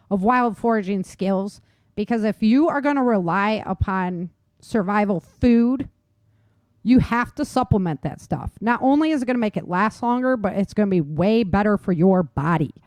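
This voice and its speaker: A middle-aged American woman hosts a casual podcast in a medium-pitched voice. She speaks straight to the listener with informal emphasis, like someone passing on practical advice. The sound is dry, with almost no room around it. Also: a little mellow, no echo. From United States. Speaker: podcast host. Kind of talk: casual instructional podcast talk.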